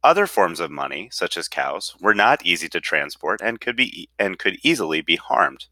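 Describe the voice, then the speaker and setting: Bright, low-pitched man reading aloud. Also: big pitch swings, moderate pace, no room sound. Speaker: reader.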